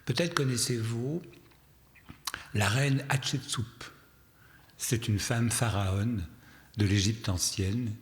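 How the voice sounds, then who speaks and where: A man who is not a native French speaker gives a public lecture as a therapist, telling stories with wry, deadpan humour and posing deliberate rhetorical questions. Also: medium pitch. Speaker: psychotherapist giving a public lecture. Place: large reverberant hall, live talk. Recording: long hall reverberation.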